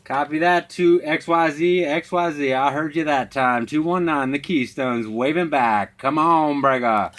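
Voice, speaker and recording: A man's voice: on the high side, measured pace, hardly any pauses, a bit bright. A man working as a CB radio operator, calling out call signs and slang in clipped, repetitive radio patter. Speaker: CB radio operator making contacts. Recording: noisy CB radio, static behind. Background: radio static and noise.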